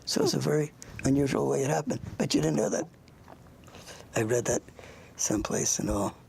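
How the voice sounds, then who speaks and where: Older host, raspy and gravelly, informal interview style, meandering and anecdotal. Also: medium pitch, mellow. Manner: rambling, halting, anecdotal. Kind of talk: informal interview with a child guest.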